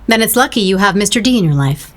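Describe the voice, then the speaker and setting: An actress as a blunt female roommate in an audio drama. Her voice sits at a middle pitch, and she talks with dry sarcasm, needling and challenging, openly disapproving. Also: animated, quick pace, fairly bright tone, close to an everyday voice. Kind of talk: naturalistic audio drama acting.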